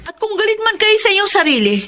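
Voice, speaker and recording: An actress playing a poor orphaned young sister, plaintive and respectful, in a Filipino radio drama. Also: female voice, very high voice, big pitch swings, fast, fairly bright tone, full character voice. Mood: indignant.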